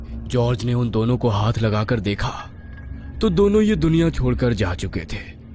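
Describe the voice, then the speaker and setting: A man's voice: mid-range voice, mellow. A man reading a scripted horror story as a dramatic narrator. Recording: music behind the voice.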